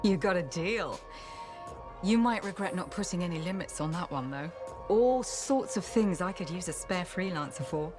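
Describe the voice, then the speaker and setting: An actress playing a breezy, shady shopkeeper in a video game, with a sly, dry, teasing manner. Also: female voice, lowish voice, lively intonation, normal speed, natural voice. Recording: music behind the voice.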